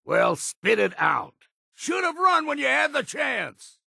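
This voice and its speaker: An actor playing a gruff, blunt, hard-drinking older island hunter in a video game, with a gravelly, raspy voice and a folksy rural drawl. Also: male voice, extremely high pitch, huge pitch swings, slow, sharp tone, no room sound, studio quality, exaggerated voice. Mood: impatient, then combative shouting. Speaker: companion character in a video game.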